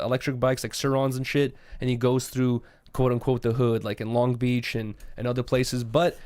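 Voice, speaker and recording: A man's voice: mid-range voice, a little mellow, clear, resonant tone, dry recording. A young man from Russia, co-hosting a casual podcast, rambling with lots of fillers and swearing. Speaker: podcast co-host.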